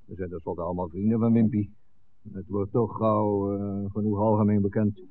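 A man's voice: lowish voice, level tone, moderate pace, natural voice. An actor playing a grave, earnest village man who speaks hesitantly and respectfully in a 1960s radio drama. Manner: hesitant, addresses the priest respectfully. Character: grave village man bearing bad news, later indignant. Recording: thin phone-like 1960s radio recording.

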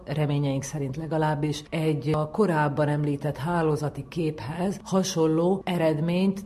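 Female researcher, low register, dark mellow tone, measured and formal explanatory delivery. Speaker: social psychologist researcher. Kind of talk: explanatory research talk, carefully worded.

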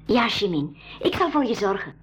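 A woman's voice: mid-range voice, big pitch swings, normal speed, breathy edge. A woman playing a caring, resolute young girl in a school radio play, speaking earnestly. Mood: compassionate. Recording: dull lo-fi 1980s radio recording.

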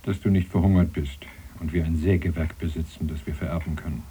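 An actor playing an older sawmill owner in a German radio play, an insistent, patronising father figure with a measured, admonishing way of talking. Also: a bass voice, fairly even intonation, dark tone, husky, exaggerated voice. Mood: admonishing, pleading. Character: old sawmill owner, insistent paternal patriarch clinging to heirs. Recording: noisy 1980s cassette radio recording.

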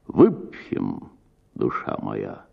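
A man's voice: rumbling low, wildly swooping pitch, drawn-out words, creaky, dull audio, voice pushed down, full character voice. A man playing an old idealist landowner in an audio story, sighing, lamenting and grandiloquent. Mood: self-pitying lament. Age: older. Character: old idealist landowner, self-pitying, grandiloquent, drinking cognac.

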